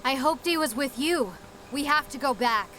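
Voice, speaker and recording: High female voice, stubborn loyal doctor, challenging, dramatic, clear background hiss. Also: moderate pace, pushed and bright, low-quality recording. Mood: insistent. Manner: challenging, insistent. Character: female doctor, headstrong, loyal, confrontational civilian. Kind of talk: audio drama acting.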